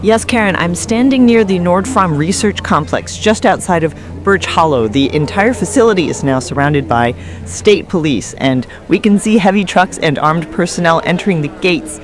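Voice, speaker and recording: A woman's voice: lowish voice, lively intonation, normal speed, natural voice. A woman playing an uneasy TV field reporter giving serious live reports from an emergency scene. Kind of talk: live on-location news report.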